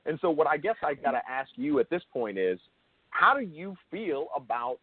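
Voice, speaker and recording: A man's voice: on the high side, very animated, breathy edge, no room sound. A man's voice comes through a thin, phone-like connection with a dry sound. He speaks as an interview host explaining ideas off the cuff, repeating and rewording phrases as he goes and leaning on key words. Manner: halting, repeats and rephrases himself, emphatic on key words. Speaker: show host interviewing a guest. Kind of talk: unscripted explanatory discussion. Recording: thin phone-like call audio.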